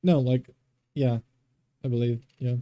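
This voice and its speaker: A man's voice: mid-range voice, steady tone, measured pace, mellow, dry recording. A young American man co-hosting a podcast in casual banter, with a blunt, argumentative way of talking. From United States. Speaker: podcast co-host.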